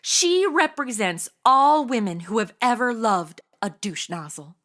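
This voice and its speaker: A high, animated, gushing young woman. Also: measured pace. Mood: breezy, oversharing. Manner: gushing, emotional, flippant oversharing. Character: chatty, boy-crazy young woman, ditzy would-be investigator and podcaster. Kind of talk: comedy audio drama acting.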